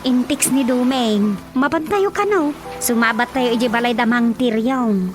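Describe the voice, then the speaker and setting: A woman's voice: fairly high pitch, normal speed, music in the background, lightly acted. A woman playing a young, inquisitive village daughter in a radio drama, with a Filipino Ilocano accent. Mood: concerned, explaining. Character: curious, giggly young village daughter questioning her mother.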